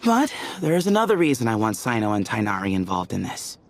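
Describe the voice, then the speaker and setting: A breezy, teasing young temple leader. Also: male voice, on the low side, sing-song, brisk, slight background noise. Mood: easygoing. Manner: laid-back, teasing, chuckling. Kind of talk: game cutscene dialogue.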